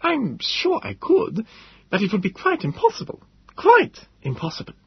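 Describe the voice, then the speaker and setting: A high, husky, eccentric professor. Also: male voice, moderate pace, full character voice. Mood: insistent. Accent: light German. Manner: excitable, conspiratorial, rambling. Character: thin fragile eccentric male professor in his thirties. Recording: dull lo-fi recording.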